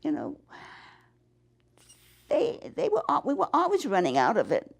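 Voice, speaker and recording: A woman in her nineties with a New England accent, recalling her past in an interview, halting and rambling with many restarts. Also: medium pitch, wildly swooping pitch, creaky, slightly rough. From Massachusetts. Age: 90s. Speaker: interviewee, war veteran. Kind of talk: oral history interview.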